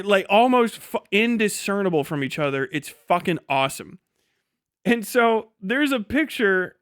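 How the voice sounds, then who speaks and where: A man with a fairly high voice, recorded close and dry. He talks like a livestreamer riffing off the cuff, swearing freely and mocking as he goes, often prone to laughing through his words. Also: a bit bright, dry recording. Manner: crude, profane, mocking storytelling; laughs often while talking. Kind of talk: casual unscripted livestream commentary.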